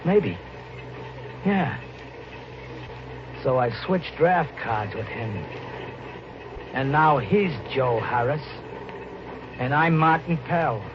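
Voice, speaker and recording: An actor playing a desperate, guilt-haunted drifter in a 1950s radio drama, narrating in a tense, halting, colloquial American voice. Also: male voice, on the high side, animated, background noise. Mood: haunted narration. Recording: muffled 1950s radio recording, music behind.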